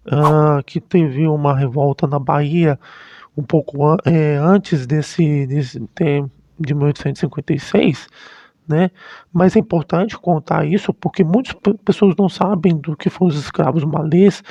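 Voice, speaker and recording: A Brazilian man giving casual, unscripted commentary as a history vlogger, halting and often rephrasing himself mid-sentence. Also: on the high side, gravelly, mellow. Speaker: history YouTuber. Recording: dull, lo-fi, roomy sound.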